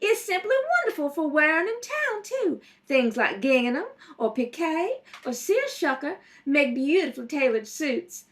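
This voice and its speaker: A woman's voice: high-pitched, sing-song, measured pace, hardly any pauses, a bit bright, exaggerated voice. A woman playing an effusive, genteel fashion guest in an old-time radio commercial for soap. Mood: gushing. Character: gushing, chirpy lady fashion guest in radio soap advertisement. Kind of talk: scripted 1930s radio commercial.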